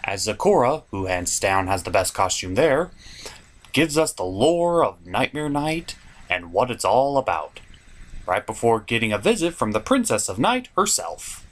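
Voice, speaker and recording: A man's voice: medium pitch, big pitch swings, measured pace. A man hosting a scripted comedic review, narrating in a sarcastic, exasperated manner. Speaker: host of a comedic cartoon review show.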